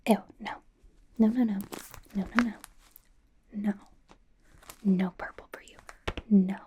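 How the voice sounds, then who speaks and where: A woman playing a bubbly, fawning young female K-pop idol stylist in a soft, breathy ASMR roleplay. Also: mid-range voice, steady tone, dull audio, a little hiss or hum, lightly acted. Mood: playfully disapproving. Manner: gushing, casual, slow, with repeated soft reassurances. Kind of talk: whispered ASMR roleplay, personal attention.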